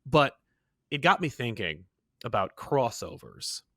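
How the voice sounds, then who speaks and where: A man's voice: medium pitch, very animated, a little slow, bright, forceful tone, no room sound, clean studio sound. A man hosting a podcast, chatting casually about pop culture in an opinionated, emphatic way. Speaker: podcast host.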